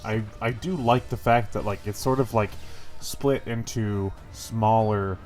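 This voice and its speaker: A man's voice: fairly low pitch, measured pace, darkish tone, faint music behind. A man with a stuffy, congested voice giving casual, rambling impressions of a game as host of his gaming channel, full of fillers and the odd swear. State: congested from a cold.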